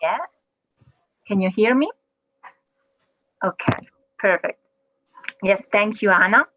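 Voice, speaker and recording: A woman from Italy giving a careful webinar talk as a legal researcher, pausing with fillers. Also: mid-range voice, fairly bright tone, dry recording. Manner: careful, hesitant, many fillers. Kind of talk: webinar presentation. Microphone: video call. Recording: muffled video call audio.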